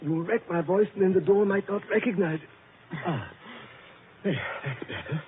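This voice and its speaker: An actor playing a suave, crafty captive inventor, urbane and drily polite, in old-time radio thriller style. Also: male voice, high-pitched, animated. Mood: alarmed. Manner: urbane, measured, drily understated. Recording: tinny, noisy old radio recording.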